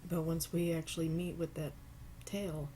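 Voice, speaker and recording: A low-pitched woman with a cold talks viewers through making earrings at home, sounding stuffy. She is relaxed and unhurried, and now and then stops to apologize. A faint hiss of background noise sits behind her voice. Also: rough recording. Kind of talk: casual step-by-step craft tutorial. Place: home craft table.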